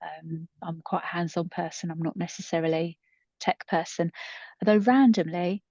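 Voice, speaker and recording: A woman's voice: lowish voice, fairly even intonation, moderate pace, darkish tone. A woman in her fifties co-hosting a podcast, chatting casually in a rambling, self-deprecating way. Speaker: podcast co-host and coach. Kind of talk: casual conversational storytelling. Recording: muffled, roomy echoing recording.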